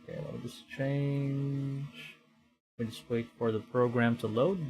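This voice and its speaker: A man from the Philippines chats casually as he hosts a game livestream. His delivery is unhurried and halting, and he often circles back to restate a phrase. Faint music plays behind his mid-pitched voice. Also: fairly even intonation, a little mellow, low-quality recording. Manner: hesitant, halting, repeating and rephrasing phrases. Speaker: VTuber livestream host.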